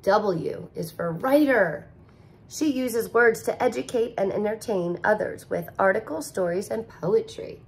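A woman in her thirties, a teacher, reading a picture book aloud to young children in an expressive, sing-song way, recorded close to the camera in a living room. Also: mid-range voice, measured pace, gravelly. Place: living room with couch, indoors.

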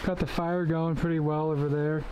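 A man's voice: a little high, level tone, normal speed, noisy background. Laid-back man vlogging outdoors at camp. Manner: laid-back, rambling with many "uh" and "like" fillers. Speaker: overland camping vlogger. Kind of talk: casual vlog chat. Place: outdoor forest campsite by a creek.

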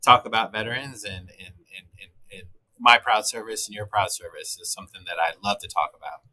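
A man's voice: lowish voice, brisk, pushed and bright, rough recording. A middle-aged man from Texas, raised in Michigan, speaking as an interview guest over a video call, earnest and polite. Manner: polite, earnest, laughs easily.

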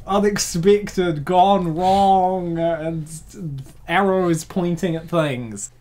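A man's voice: high-pitched, drawn-out words. A man narrating a comic dub, breaking off often to joke and laugh at the story. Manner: joking, laughs often, animated. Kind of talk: narration with joking commentary.

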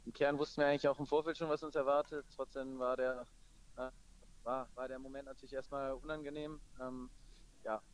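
A man speaking as a football coach in a post-match interview, matter-of-fact and measured. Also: mid-range voice, flat delivery, rough recording.

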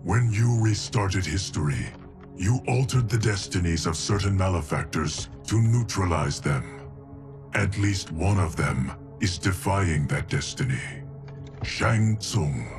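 An actor playing a solemn, dutiful timekeeper construct in a video game cutscene, speaking in a grave, formal, measured way. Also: male voice, sits low, expressive, over music. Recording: game audio with loud score.

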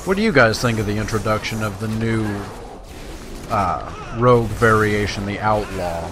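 A man's voice: on the low side, animated, normal speed, noisy background. A man giving casual, folksy gameplay commentary, talking to his viewers. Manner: folksy, conversational, addresses viewers. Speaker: let's play host. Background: video game sounds.

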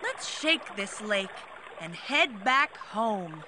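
A woman's voice: medium pitch, big pitch swings, takes it slowly, noisy background. A woman voicing adventurous dinosaurs from a children's storybook, with dramatic exclamations. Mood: dismayed. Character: excitable band of adventurous travelling cartoon dinosaurs.

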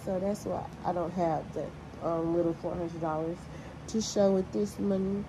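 A woman's voice: fairly low pitch, fairly even intonation, normal speed, noisy background. A young woman, chatting casually and bluntly to her vlog audience while tallying money aloud. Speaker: vlogger. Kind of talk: casual vlog talk to camera.

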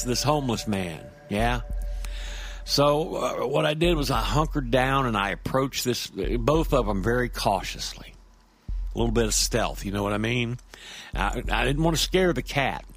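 Guy acting as streetwise nervy dude telling a creepy story, medium pitch, stop-and-start talk, music behind. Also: a bit bright. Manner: halting, colloquial, with tag questions like "yeah?". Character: streetwise, nervy man recounting a creepy encounter. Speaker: storyteller in an audio fiction podcast. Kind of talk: first-person horror monologue performed as casual talk.

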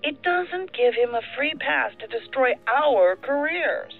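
A woman's voice: sits high, lively intonation, unhurried, creaky, soft background music, full character voice. A woman playing a tense, stubborn federal agent in an audio drama, exasperated, through a phone filter. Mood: exasperated, defensive. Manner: exasperated, defensive. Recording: tinny, narrow-band phone line.

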